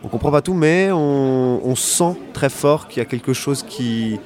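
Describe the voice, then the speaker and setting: A man, an actor and director who grew up bilingual in French and Italian, talking reflectively in an interview with many pauses and fillers. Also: medium pitch, darkish tone.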